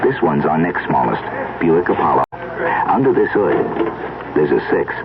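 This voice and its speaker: An American man with an extremely deep voice delivers a vintage radio commercial. He explains things in a folksy, conversational manner. The recording is muffled, with loud noise throughout. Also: very animated, normal speed, creaky. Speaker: car commercial announcer. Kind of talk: conversational 1970s radio ad read. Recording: dull, very noisy old radio recording.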